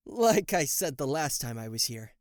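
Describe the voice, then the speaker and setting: A man's voice: medium pitch, very animated, moderate pace, dry recording, clean studio sound, natural voice. A man playing a cocky, easily flustered king of hell in an ASMR roleplay, sarcastic, indignant and theatrical, speaking to the listener. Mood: incredulous, mocking.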